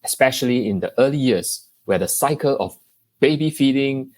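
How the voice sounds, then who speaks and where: A man hosting a podcast, reading a scripted introduction in a measured, polished way. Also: mid-range voice, lively intonation, darkish tone. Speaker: podcast host.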